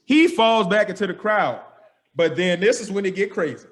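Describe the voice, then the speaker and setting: A young man, a podcast host telling a story with lively energy, slangy and acting out the dialogue. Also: high voice, normal speed. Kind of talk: animated storytelling.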